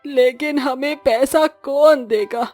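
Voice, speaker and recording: A woman voicing a distraught, fearful village mother-in-law in a cartoon story, lamenting in a plaintive, drawn-out way. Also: high voice, a little mellow, soft background music. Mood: worried.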